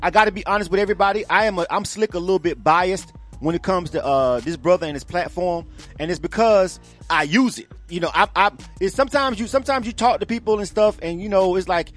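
A man's voice: high-pitched, fast talker, a bit bright, soft background music. A man co-hosting a radio call show, talking in a casual, emphatic, slangy way with repeated words and free swearing. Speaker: radio program director, co-host.

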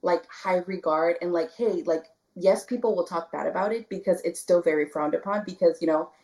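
A woman from the Rio Grande Valley in Texas, a guest talking casually in an interview, soft-spoken with many fillers and restarts. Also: fairly low pitch, fairly quick, low-quality recording. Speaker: guest, spiritual candle maker.

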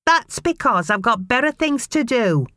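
A woman's voice: fairly high pitch, sing-song, moderate pace, no echo, clean studio sound, pitched above the performer's own voice. A woman voicing a spiteful mother who scolds and rants at her son. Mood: scolding. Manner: sharp, scolding, ranting.

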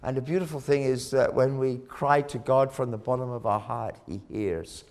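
A man preaching a sermon, telling a story dramatically, rising loud on key words and dropping low again. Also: fairly even intonation, moderate pace. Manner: dramatic, swells loud on key phrases then drops low.

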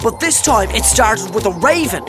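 A man's voice: high voice, sing-song, normal speed, music in the background. A man playing an earnest, self-dramatising would-be poet given to spirit visions in a radio drama. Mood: awestruck. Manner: awestruck, grandiose.

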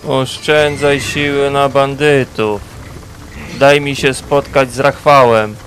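A man playing a slow-spoken, self-assured fantasy wanderer. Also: medium pitch, level tone, a bit bright, a little hiss or hum. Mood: impatient. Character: wary, self-assured male wandering traveller seeking hire. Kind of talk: fantasy audio drama acting.

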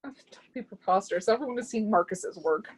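A woman's voice: mid-range voice, lively intonation, fast talker, slightly rough. A woman playing a proud, zealous would-be artist's muse in a play reading, speaking with lofty, scornful emphasis. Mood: haughty.

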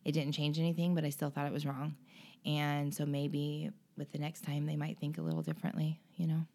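A woman guest in an interview, speaking earnestly and emotionally about her own experience, with frequent pauses and restarts. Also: deep voice, level tone, creaky, warm tone. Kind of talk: candid personal interview.

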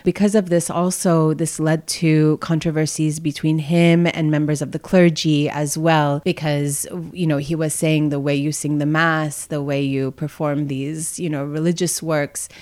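A low-pitched young woman, a scholar discussing her book in an interview. She explains thoughtfully, often circling back with fillers and restarted phrases. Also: flat delivery, measured pace, hardly any pauses, darkish tone. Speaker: author and music scholar. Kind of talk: academic interview.

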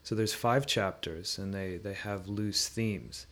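A man speaking in a thoughtful, conversational discussion, halting and reflective as he searches for words. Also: low voice, a bit bright.

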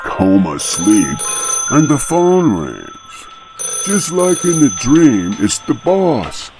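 A man's voice: medium pitch, sing-song, slow, mellow, soft background music. A man playing a weary tuba-playing small-time hitman, rambling conversationally through his tall tale in a comic radio play. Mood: weary, casual. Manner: rambling, conversational, peppered with "you know". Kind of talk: comic first-person radio play monologue.

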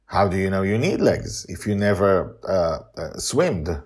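A man voicing a forceful, blunt woman in a storybook, emphatic and insistent, with a husky voice. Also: low voice, expressive, unhurried, heavily acted. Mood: challenging.

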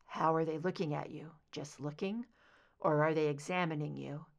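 A male agent speaking low and soft, soothing his partner through a painful hypnosis session. His voice is hushed and steady, as a woman narrator voices him. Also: normal speed, gravelly, muffled recording. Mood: tender. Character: gentle anguished male agent guiding hypnosis.

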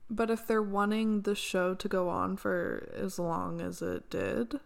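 A woman's voice: mid-range voice, lively intonation, a little slow, vocal fry. A woman co-hosting a casual TV review chat, matter-of-fact as she mildly pushes back. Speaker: podcast co-host.

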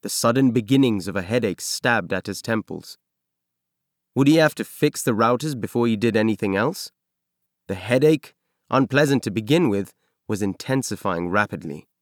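A man reading a science fiction novel aloud as scripted narration, in measured, expressive storytelling. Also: on the low side, no echo, clean studio sound. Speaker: audiobook narrator.

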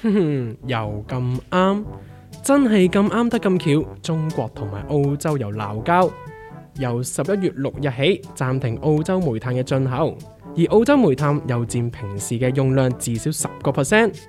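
A man's voice: medium pitch, very animated, normal speed, darkish tone, soft background music, close to an everyday voice. A man playing a level-headed, wry character who reports news emphatically in a comedic radio drama, with a Hong Kong Cantonese accent. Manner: emphatic, wry newsreader-like delivery.

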